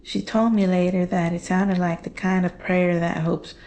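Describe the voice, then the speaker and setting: A woman playing a haunted daughter recounting a horror story in hushed, halting, measured phrases. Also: lowish voice, level tone, natural voice. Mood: haunted, dread. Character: Jane, haunted woman recounting her family's monster encounter. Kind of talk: slow scripted horror storytelling.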